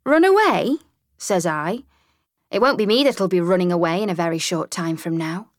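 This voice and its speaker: A woman voicing an excitable, cheeky female mole in a storybook reading, telling tales with glee. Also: fairly low pitch, normal speed, dry recording, clean studio sound, natural voice. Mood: gleeful. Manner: chatty, gleeful storytelling. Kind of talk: audiobook character reading.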